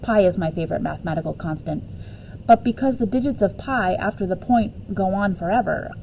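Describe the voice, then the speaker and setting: A woman's voice: mid-range voice, a little fast, gravelly, mellow, a little hiss or hum, low-quality recording. A woman reading a children's novel aloud expressively in the first person, as a storyteller. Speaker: teacher reading a book aloud.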